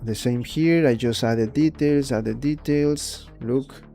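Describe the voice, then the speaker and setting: A man's voice: medium pitch, takes it slowly, gravelly, warm tone, soft background music. A young man from Ecuador with a Spanish accent, rambling and repeating words as he explains his 3D art on a casual livestream. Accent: Spanish-accented English. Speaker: 3D artist streaming his work.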